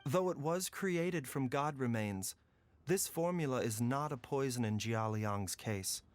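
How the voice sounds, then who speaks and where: A man playing a calm, courteous doctor in a video game, speaking in a measured, formal way with grave concern. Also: mid-range voice, dry recording, natural voice. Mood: calm, explanatory. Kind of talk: scripted video game voice acting. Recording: background music under the voice.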